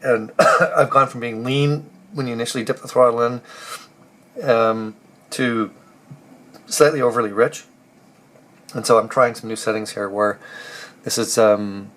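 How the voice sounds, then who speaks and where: A man with a hoarse voice from a cold, casually walking through engine tuning settings and thinking aloud with halting pauses. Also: on the low side, a bit bright. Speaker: car builder explaining engine tuning. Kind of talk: casual technical walkthrough.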